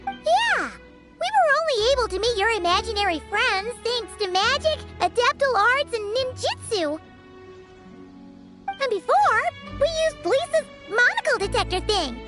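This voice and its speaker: Very high, chirpy little fairy girl. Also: very animated, unhurried, full character voice. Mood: eager. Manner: chirpy, third-person self-reference. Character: small cheerful floating fairy companion, childlike girl. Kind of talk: video game voice acting. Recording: music playing behind the voice. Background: loud children's game music.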